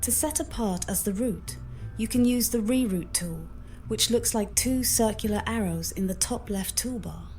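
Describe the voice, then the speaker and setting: A woman's text-to-speech voice explains steps as an AI copilot. It sits at a middle pitch and speaks fluently, in polite and formal phrasing. Quiet music runs underneath. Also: normal speed. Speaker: AI copilot assistant. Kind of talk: scripted instructional explanation.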